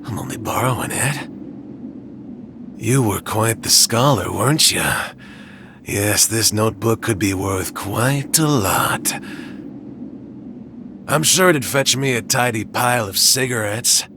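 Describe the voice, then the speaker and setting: A sly, bullying male convict from a video game. His lowish voice is thick with gravel, and he taunts at a leisurely pace. There is clear ambient noise around him. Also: slow pace, long pauses. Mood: sly taunting. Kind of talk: dramatic game dialogue.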